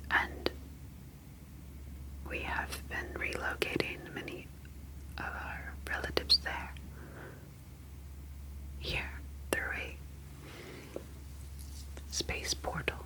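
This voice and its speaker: A woman playing a gentle, reassuring humanoid ocean alien in a soothing, formally phrased ASMR sci-fi roleplay. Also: natural voice, poor audio. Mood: calm, explanatory.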